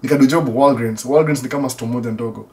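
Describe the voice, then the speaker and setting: A man's voice: mid-range voice, fast talker, darkish tone, distant mic. A middle-aged Kenyan man with an American tinge to his accent, a native Swahili speaker, chatting casually in a rambling, self-repeating way as a podcast host. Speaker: podcast host answering listener questions. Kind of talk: casual chat mixing Swahili and English.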